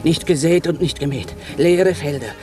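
An actress playing a pious, guilt-ridden old maidservant, halting and deferential, in a radio play. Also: female voice, low-pitched, over music, lightly acted. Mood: brooding. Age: older.

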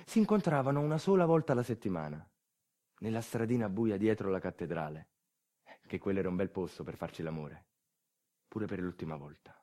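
A man delivering a dramatic monologue as a storyteller, intense and colloquial, moving between hushed and loud delivery. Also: mid-range voice. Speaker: stage storyteller. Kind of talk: dramatic narrative theatre monologue.

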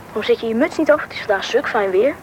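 A young performer playing a lively thirteen-year-old Russian boy who narrates and acts in a radio drama, with a slightly husky boyish voice. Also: mid-range voice, fast talker, a little hiss or hum, natural voice, rough recording. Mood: playful, eager. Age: young teen. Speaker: main character and narrator of a radio play. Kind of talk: radio drama storytelling and dialogue.

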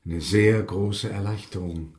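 An actor playing a genteel, weary and odd gentleman scientist in a radio drama, formal, courteous and deliberate. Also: lowish voice, fairly even intonation, lightly acted. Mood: weary, agitated. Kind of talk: German radio drama acting. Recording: roomy binaural interior.

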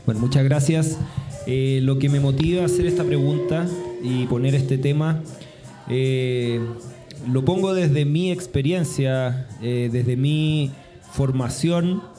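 A man's voice: medium pitch, takes it slowly, dark tone, faint music behind. A man from Chile speaking in a discussion circle, reflective and hesitant, with many fillers and restarts. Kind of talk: reflective conversational talk.

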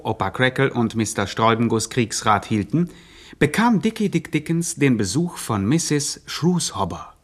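An actor playing a mock-solemn narrator in a radio gangster satire. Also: male voice, on the low side. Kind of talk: scripted radio drama narration.

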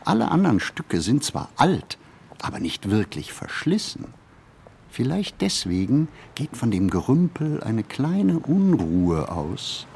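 A man reading literary first-person prose aloud in a measured, deliberate way with pauses. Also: medium pitch, wildly swooping pitch, vocal fry, darkish tone, slight background noise. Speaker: reader of a literary text.

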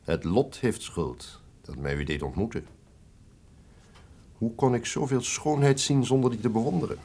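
An actor playing a proud, menacing and passionate duke in a radio drama, speaking in a pompous, formal, commanding way. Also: male voice, medium pitch, lively intonation, normal speed, dark tone, slightly rough. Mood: smooth, proud. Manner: pompous, commanding, formal old-fashioned speech. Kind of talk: theatrical radio drama acting.

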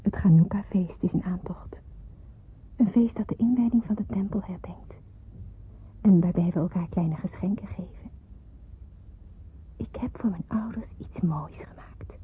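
Husky, earnest young daughter, tinny recording. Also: female voice, mid-range voice, whispered. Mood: reflective, earnest. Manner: solemn, earnest, measured delivery. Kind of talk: radio drama narration. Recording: muffled, phone-like old radio play recording.

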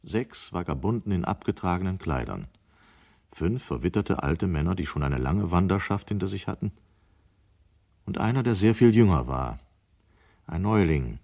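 A man narrating a German radio play in a measured, literary way. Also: deep voice, animated, a little mellow.

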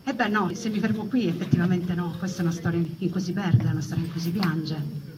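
A woman's voice: on the low side, fairly even intonation, fast talker. A woman telling a story theatrically, as in a stage monologue, with dramatic, building repetition. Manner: dramatic delivery with repeated, building phrases. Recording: noisy, distant, dull lo-fi recording.

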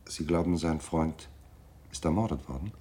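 An actor playing a dry, businesslike private detective who asks matter-of-fact questions in a naturalistic radio drama. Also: male voice, rumbling low, moderate pace, warm tone, husky. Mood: businesslike, probing. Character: businesslike, probing male private detective taking on a case.

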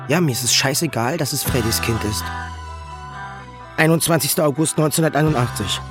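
An actor in a radio drama playing an obsessive, grandiose young poet who reads a diary with self-dramatizing intensity. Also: male voice, mid-range voice, lightly acted.

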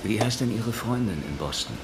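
A calm, very low-voiced police detective. He questions politely but persistently, in a dry radio-drama manner. Loud noise runs behind his voice. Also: normal speed. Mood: calm, probing. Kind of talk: radio crime drama acting.

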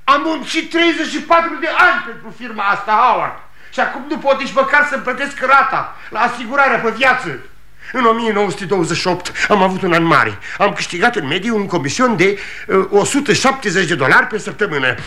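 Ageing salesman, high bright voice, proud but desperate, insistent pleading, vintage radio theatre. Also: lively intonation, heavily acted. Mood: agitated, indignant. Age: older. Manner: pleading, insistent, repeating himself. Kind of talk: 1960 Romanian radio theatre acting. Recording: vintage 1960 radio studio recording.

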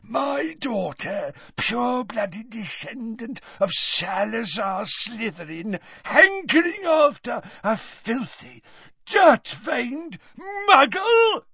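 A man voicing a menacing, proud old father in a storybook reading, with a forceful, hissing delivery. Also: medium pitch, fairly even intonation, slow pace, few pauses, no echo, dull audio, voice pushed up. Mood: enraged contempt. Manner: hissing, forceful, explodes into shouting.

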